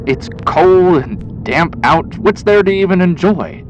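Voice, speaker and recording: A man's voice: high-pitched, lively intonation, slow, highs cut off, music in the background, pitched above the performer's own voice. A man voicing a nervous, withdrawn younger man in a horror radio story, hesitant and pleading in manner. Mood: reluctant.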